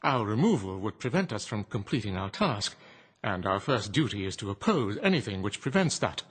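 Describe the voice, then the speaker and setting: Robot servant, formal, precise, dutiful, lively pitch range, radio drama. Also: male voice, moderate pace, slightly rough. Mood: defiant.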